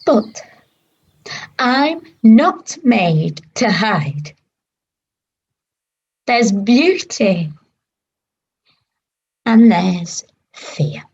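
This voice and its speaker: A woman acting a grieving yet resolute disciple in a dramatised ancient religious text, speaking in a hushed, deliberate way with long pauses. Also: mid-range voice, very animated, breathy edge, highs cut off, heavily acted. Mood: quiet resolve.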